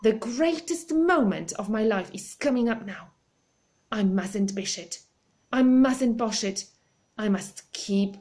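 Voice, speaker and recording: A woman's voice: on the high side, lively intonation, takes it slowly, darkish tone. A woman voicing a shy, earnest older man in a storybook reading, talking in an anxious, earnest way. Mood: nervous.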